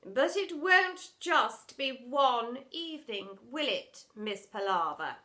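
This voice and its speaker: An imperious, posh headmistress, put on by a woman reading a storybook, bright and forceful. She is pompous and exasperated, stretching out her words slowly as she lays down the law. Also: fairly high pitch, animated, full character voice. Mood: weary, condescending. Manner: pompous, commanding, refers to herself as "one". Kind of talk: children's storybook character voice.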